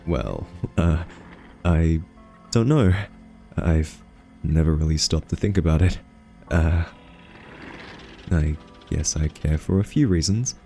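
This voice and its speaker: A man playing an earnest, awkward scientist in a soft-spoken ASMR audio roleplay, talking haltingly with nervous ums. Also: extremely low pitch, heavily acted. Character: earnest, awkward male scientist pleading with a captive AI.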